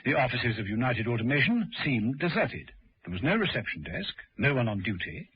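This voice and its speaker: A man's voice: medium pitch, sing-song, brisk, husky. A man narrating a scripted vintage radio drama. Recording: dull old radio recording.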